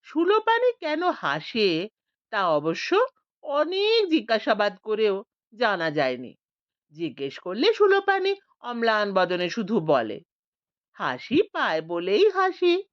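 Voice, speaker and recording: A woman's voice: medium pitch, wildly swooping pitch, slow, dry recording, lo-fi sound. A woman narrating a scripted audiobook story, voicing the village characters expressively.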